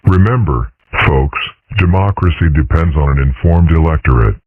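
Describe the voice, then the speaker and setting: Man voicing a deep deadpan mentor. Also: moderate pace, breathy edge, dry recording, heavily acted. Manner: deadpan, mock-solemn. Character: dry, sardonic adult male mentor. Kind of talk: amateur comic dub. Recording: dry, phone-like narrow sound.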